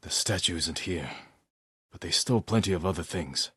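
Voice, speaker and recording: A solemn, determined samurai from a video game. His voice sits very low with a rough husk to it, recorded dry and close. He speaks in short, measured lines with serious, honourable resolve. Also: fairly bright tone, dry recording, exaggerated voice. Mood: low, intent. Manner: terse, measured, serious delivery.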